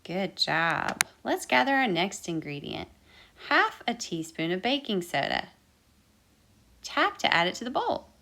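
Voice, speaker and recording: A woman's voice: mid-range voice, very animated, unhurried, vocal fry, bright, forceful tone, a little hiss or hum. A woman hosting an interactive children's baking storytime, encouraging listeners with sing-song, repeated instructions. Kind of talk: interactive children's baking lesson with counting and singing.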